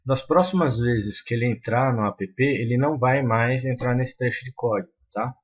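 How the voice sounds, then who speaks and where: A man's voice: mid-range voice, moderate pace. A man explaining code step by step in a screen-recorded tutorial, with many fillers and restarts. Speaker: programming tutor. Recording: muffled lo-fi sound.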